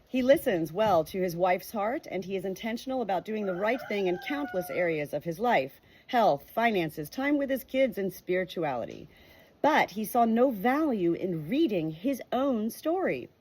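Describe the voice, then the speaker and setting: A woman's voice: medium pitch, sing-song, normal speed, a little mellow, slightly rough, poor audio. Earnest woman reading a faith book aloud. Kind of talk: reading a nonfiction book aloud with personal reflection.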